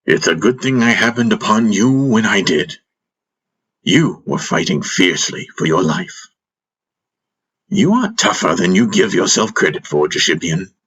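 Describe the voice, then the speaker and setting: A man voicing a wise, calm old master in an audiobook, speaking in a measured, gently teasing way. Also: low-pitched, slightly rough, heavily acted. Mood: calm, wry. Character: wise, calm, wry old male master caring for a patient.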